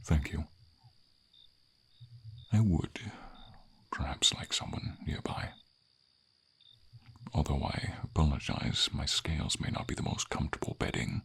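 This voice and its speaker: A man playing a gentle, noble, melancholy silver dragon in an ASMR fantasy roleplay, speaking tenderly and formally in a breathy, gravelly voice. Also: expressive, full character voice. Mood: shy, tender. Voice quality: husky, gravelly, breathy low voice. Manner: slow, tender, formal, hesitant phrasing.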